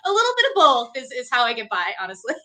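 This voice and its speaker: A woman's voice: fairly high pitch, sing-song, quick pace, bright, forceful tone. A young woman from New Hampshire, a doctoral student talking casually as an interview guest, chatty with frequent fillers and laughs. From New Hampshire, USA. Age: young adult.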